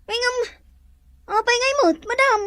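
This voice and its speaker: A woman's voice: very high-pitched, unhurried, pushed and bright, dry recording, studio quality, exaggerated voice. A woman with an Ilocano accent playing a cheeky, chatty, gossipy employee in a radio drama. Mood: nosy, pleading.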